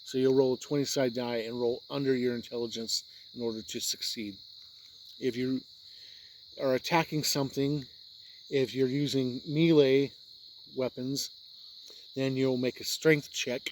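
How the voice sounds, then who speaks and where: A man's voice: medium pitch, steady tone, unhurried, pauses often. A man with a mid-range voice gives an unscripted review. He explains things plainly, one step after another, and sometimes repeats himself. A light layer of background noise sits behind his voice. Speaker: tabletop game reviewer.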